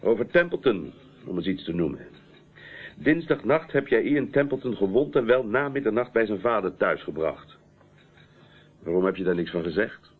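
A man's voice: on the low side, animated, normal speed, slightly rough. A man acting in a radio play as a persistent police inspector, questioning in a businesslike, authoritative way. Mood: probing. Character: stern, persistent male police inspector interrogating a witness. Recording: muffled, narrow-band old radio recording.

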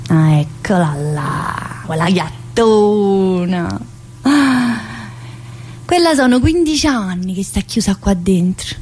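A woman's voice: mid-range voice, expressive, slow, a little mellow, slight background noise. An actress playing a restless, needy, brash woman prisoner in a theatrical monologue, speaking colloquial, dialect-laced Italian with a southern accent. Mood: bitter, defiant. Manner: colloquial, dialect-laced, addressing an unseen teacher.

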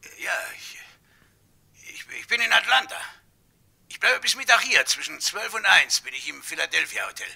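A sharp, raspy, talkative barkeeper. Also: male voice, high voice, sing-song, moderate pace, long pauses, heavily acted. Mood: hesitant, eager. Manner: hesitant, rambling, eager to inform. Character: chatty male bar keeper, eager hesitant witness. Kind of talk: German radio crime drama acting.

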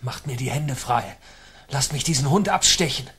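A cowed military captain with a medium-pitched voice. He sounds strained and grudging, a bitter, vengeful figure in a radio play. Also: normal speed, fairly bright tone, breathy edge. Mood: furious. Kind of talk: German radio play acting.

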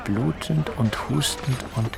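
An actor playing an idealistic German planter in the colonial South Seas, speaking earnest, formal, ornate German in a radio drama. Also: male voice, medium pitch, slow, gravelly, over a noisy crowd. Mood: grave recounting. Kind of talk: radio drama acting, first-person storytelling. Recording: music under the voice.

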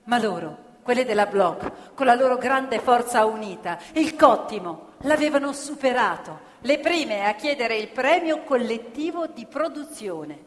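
An actress playing a proud, impassioned storyteller of women factory workers, emphatic and theatrical in a radio theatre piece. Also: female voice, fairly high pitch, lively intonation, unhurried, hardly any pauses. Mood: recounting. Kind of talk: stage radio theatre narration in Italian. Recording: reverberant hall, loud live music behind. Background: loud live musical instruments.

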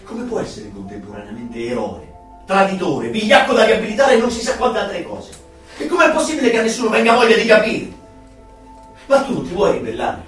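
An actor playing an anxious, nostalgic rebel clerk in an Italian dystopian radio drama, earnest and swinging from hushed to heated. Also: male voice, high-pitched, huge pitch swings, brisk, recorded from a distance, heavily acted. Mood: angry, anguished. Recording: echoey, off-mic radio play over music.

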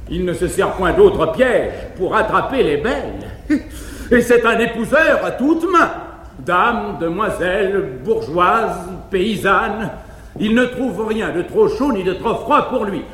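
An actor playing a garrulous, fearful but frank male valet, delivering quick, emphatic comic declamation on a 1950s live stage. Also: high-pitched, expressive, bright, forceful tone, a little hiss or hum, heavily acted. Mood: mocking, confiding. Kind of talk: classical verse-era stage acting. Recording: echoey 1950s live theatre recording, reverberant hall.

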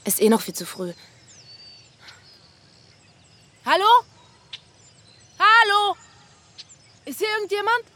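An actress playing a determined young backpacker in a naturalistic German radio drama. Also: female voice, very high-pitched, big pitch swings, moderate pace, pushed and bright, heavily acted. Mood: tense, calling out. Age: young adult. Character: young woman backpacker, determined, searching for a mysterious train.

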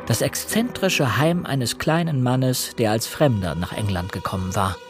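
A man playing an earnest young fiancé turned amateur sleuth in a radio play, measured and inquiring as he acts and narrates. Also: medium pitch, music in the background, natural voice. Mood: reflective narration.

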